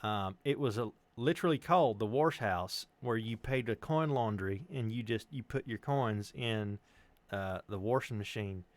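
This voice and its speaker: A man's voice: on the low side, moderate pace, no echo. A man with a neutral American accent telling personal stories in casual chat, joking and rambling with some swearing. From United States, Chicago suburbs and Tennessee. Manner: animated, joking, profane, digressive.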